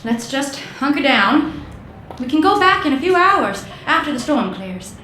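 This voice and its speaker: An actress playing a plucky, impatient young woman adventurer in a 1930s radio mystery, brisk and bossy. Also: high voice, very animated, sharp tone, reverberant, soft background music, heavily acted, poor audio. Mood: steadying, matter-of-fact. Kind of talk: 1930s radio drama acting.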